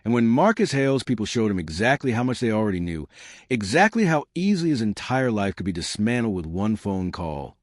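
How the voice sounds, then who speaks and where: A man with a low voice tells a scripted dramatic story. His pitch moves expressively as he phrases each line deliberately, speaking to the listener like an audiobook narrator. The recording is dry and close. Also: big pitch swings, dry recording.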